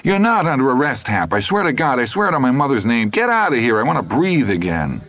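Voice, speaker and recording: A man's voice: medium pitch, sing-song, fairly quick, fairly bright tone, pitched above the performer's own voice. A man reading a novel aloud, voicing a harried male police sergeant who pleads and complains. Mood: exasperated. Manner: pleading, exasperated.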